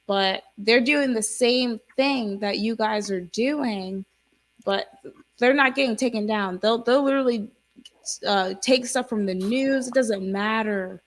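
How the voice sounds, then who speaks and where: A woman in casual conversation, rambling with lots of fillers and restarts. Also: mid-range voice. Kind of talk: casual chat.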